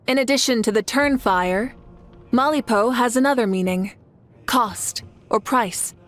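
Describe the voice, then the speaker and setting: An actress playing a calm, dignified, compassionate warrior leader in a video game, speaking in measured, earnest phrases. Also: female voice, a little high. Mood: calm, reflective. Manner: measured, earnest, poised delivery. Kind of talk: scripted video game voice acting.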